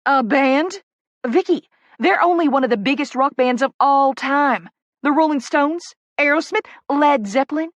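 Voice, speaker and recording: A narrator plays an excitable little Southern woman in publicity, from an audiobook novel. Her voice sits high and swings upward with emphatic, breathless gushing. She is bubbly and effusive, with a Southern drawl, recorded close and dry. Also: normal speed, no echo, muffled recording, close to an everyday voice. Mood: squealing excitement.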